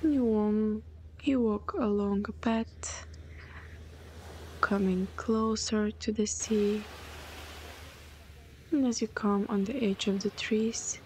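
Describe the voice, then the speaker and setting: A woman leading a guided meditation in a soothing, breathy voice that often softens almost to a whisper. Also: mid-range voice, fairly even intonation, takes it slowly, long pauses. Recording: clear background noise, ambient sound.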